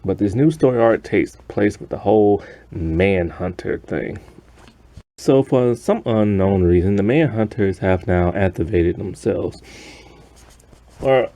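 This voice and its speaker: A man giving a casual, rambling spoken review of an animated series in a conversational, easily amused way. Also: on the low side, unhurried.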